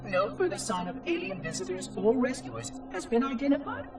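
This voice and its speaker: A prim chirpy machine caretaker. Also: male voice, very high-pitched, sing-song, a little fast, recorded from a distance, music in the background, heavily acted. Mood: solemn. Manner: precise, formal, oddly cheerful. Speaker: monitor of an installation. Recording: game score playing behind.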